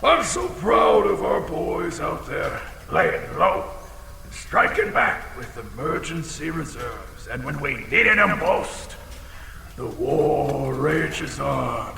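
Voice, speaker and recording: A man voicing a battle-scarred old dragon in an audio drama, fiercely proud and bombastic, with a gravelly, folksy delivery. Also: on the high side, big pitch swings, slow, pushed and bright, exaggerated voice. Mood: proud, rambling. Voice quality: gravelly, creaky. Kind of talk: audio drama character acting. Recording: reverberant chamber, some background noise.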